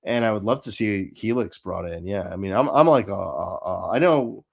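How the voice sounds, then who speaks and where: A man's voice: lowish voice, lively intonation, normal speed, creaky. A man hosting a fan show in casual chat, rambling and hesitant, with some swearing. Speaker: host of a live fan show.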